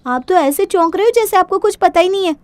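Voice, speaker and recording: A woman's voice: very high-pitched, animated, quick pace, no echo. A woman voicing an aggrieved, stubborn young wife in a cartoon moral story, complaining sulkily. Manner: complaining, sulky.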